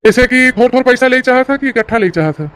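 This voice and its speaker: Highish awadhi village insurance guy woman doing him, patient pushy salesman patter, cartoon comedy. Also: expressive, quick pace, fairly bright tone, a little hiss or hum. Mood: persuasive. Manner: explanatory, salesmanlike, colloquial. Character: patient, persuasive male village insurance agent.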